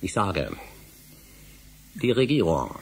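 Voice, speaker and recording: A man's voice: deep voice, huge pitch swings, takes it slowly, vocal fry, breathy edge, exaggerated voice. An actor playing an obsessive middle-aged male scholar in a German radio drama, speaking emphatically and rhetorically. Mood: urgent. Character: obsessive older male scholar, insistent and indignant.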